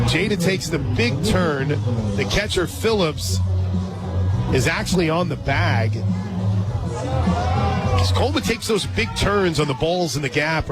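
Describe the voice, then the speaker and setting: An American man does live radio softball play-by-play in a fairly high voice. He is conversational and excitable. A loud crowd and music run behind him in an outdoor setting. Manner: conversational, excitable on big plays. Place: outdoor stadium radio booth.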